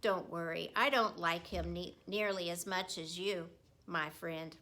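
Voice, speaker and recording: Chummy male janitor for a children's story, voiced by a woman; folksy, exclamatory, bright and forceful. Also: on the low side, steady tone, a little slow, voice pushed down. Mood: fond. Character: friendly, hearty male school janitor, big-hearted and chatty. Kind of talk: children's book read aloud.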